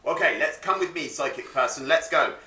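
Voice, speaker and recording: A man rushing through an action movie remake in an improv scene, narrating and acting it out in a frantic, jokey way. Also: on the high side, sharp tone. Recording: echoey room, far from the mic.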